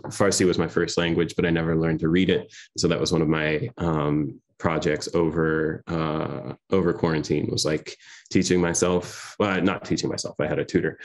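Poet in his 30s, deep low voice, reading over a laptop webcam at home. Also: flat delivery, moderate pace. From Iran. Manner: soft-spoken, hesitant with many fillers between poems. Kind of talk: poetry reading. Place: home room with framed pictures on the wall. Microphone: laptop webcam video call.

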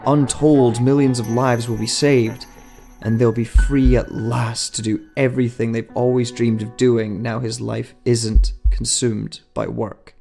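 A man's voice: medium pitch, level tone, a little slow, creaky, dark tone. A man reading a scripted short story as a dramatic narrator. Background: music.

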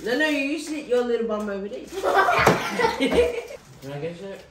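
Giggly young woman, slow and high. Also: sing-song, heavy background noise. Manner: giggly, bossy playful. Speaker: niece in the family. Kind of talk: casual family chat. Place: home living room. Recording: roomy, from a distance, with music.

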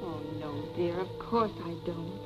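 A woman's voice: on the low side, a little slow, close to an everyday voice. An actress plays a self-possessed young wife in a 1940s melodrama. She speaks with genteel, composed diction. The soundtrack is muffled and old, with strong music underneath. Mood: soothing, concerned. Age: young adult. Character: poised, self-possessed wealthy young wife, soothing then uneasy. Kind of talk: 1940s film acting.

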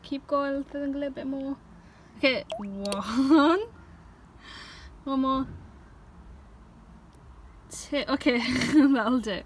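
High-voiced girl giving instructions. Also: slow pace, pauses often. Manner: instructing and encouraging. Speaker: vlogger filming. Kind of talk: casual chat. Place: outdoors on a driveway. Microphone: handheld phone camera. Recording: noisy outdoor phone recording.

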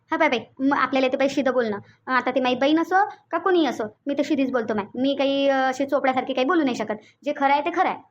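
A woman's voice: high voice, muffled recording, close to an everyday voice. A woman voicing blunt, gossipy village housewives in a cartoon, chatting in a rustic Varhadi Marathi accent. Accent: Varhadi, Vidarbha Marathi. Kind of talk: cartoon dialogue.

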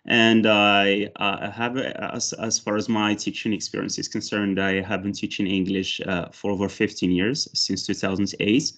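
A man's voice: fairly low pitch, level tone, normal speed, few pauses. A man from Morocco with a Moroccan accent, native in Arabic and Berber, an English teacher in a mock interview and demo lesson on a video call, hesitant with frequent fillers and restarts. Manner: hesitant, many fillers and restarts, rises brightly when teaching. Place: indoor room with large windows. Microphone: video call, headset.

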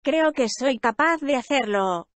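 A woman with a high voice reads practice sentences for learners, slow and deliberate. The sound is close and dry, with no room around her. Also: animated, no echo. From Spain. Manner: slow, careful, evenly paced delivery. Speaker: language lesson speaker. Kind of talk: reading practice sentences aloud.

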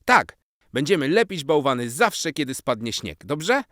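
A man voicing an excitable, affectionate seven-year-old boy in a children's story, eager and exclaiming. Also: on the high side, unhurried, dry recording, clean studio sound, voice pushed up. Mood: sincere, eager.

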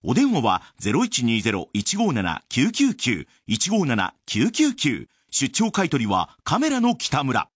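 A man's voice: medium pitch, wildly swooping pitch, a little slow, hardly any pauses, slightly rough, dry recording, clean studio sound. A man reading a scripted radio advertisement as a commercial announcer.

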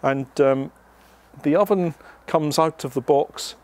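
A man's voice: on the high side, slow pace, darkish tone. A British man hosting a casual unboxing vlog outdoors, explaining things to viewers in a chatty, hesitant way. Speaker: vlog host.

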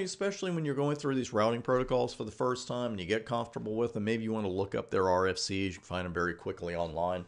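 A man giving an informal narrated slide lecture as an instructor, talking directly to the learner and stressing key points. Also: on the low side. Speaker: instructor of a computer networking course.

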